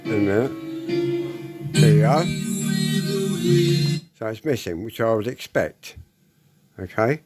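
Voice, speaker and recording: A man's voice: fairly low pitch, slow, pauses often, a little mellow, soft background music. A man giving a casual spoken demonstration, explaining step by step, repeating his points and checking with short tag questions. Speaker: presenter demonstrating an audio equipment fault.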